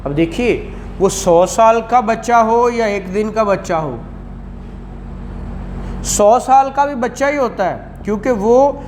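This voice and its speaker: A man's voice: high voice, lively intonation, slow, darkish tone. A man with a Pakistani Punjabi accent giving a didactic classroom lecture, often checking in with questions. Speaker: law lecturer. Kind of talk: classroom lecture mixing Urdu and English. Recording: echoey room, faint background noise.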